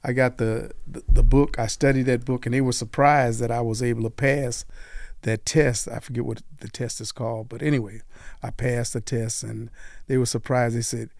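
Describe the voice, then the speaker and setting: An older man hosts a community radio talk show, his voice mellow and dark in a dry, close studio sound. He talks in a relaxed, chatty way and laughs easily with his guest. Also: medium pitch, moderate pace, vocal fry, no room sound. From Las Vegas. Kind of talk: casual radio interview.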